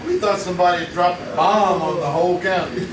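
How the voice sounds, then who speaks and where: A teasing old friend, played by a man who laughs through his lines. His voice is fairly high and heard from a distance, with a roomy live-stage sound. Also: fairly even intonation, fast, noisy background, natural voice. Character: amused old friend, JC, hearing the story.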